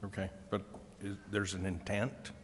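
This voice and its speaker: A man with a fairly low voice asks questions as a council member. The room has long reverberation and a little background noise. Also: monotone, moderate pace. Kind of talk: questioning in a meeting.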